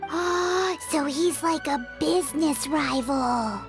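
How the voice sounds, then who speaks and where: A woman's voice: high voice, takes it slowly. A woman voicing a tiny, curious female companion who asks lots of questions, over game music.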